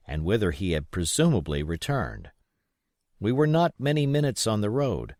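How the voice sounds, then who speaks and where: A man reading a classic adventure novel aloud in expressive scripted narration. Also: sits low, no room sound, clean studio sound. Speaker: audiobook reader.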